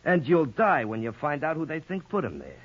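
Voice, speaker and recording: An actor playing a wisecracking, needling police lieutenant with sarcastic banter, in a 1950s radio drama. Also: male voice, on the high side, huge pitch swings, brisk, a bit bright, full character voice. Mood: teasing. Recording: muffled old radio recording.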